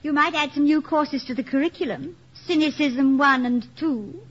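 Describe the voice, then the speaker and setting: An actress playing a college president's practical, supportive wife with dry, teasing wit in a 1950s radio comedy-drama. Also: female voice, high-pitched, moderate pace, fairly bright tone. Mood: wry, practical. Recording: muffled old 1950 radio recording.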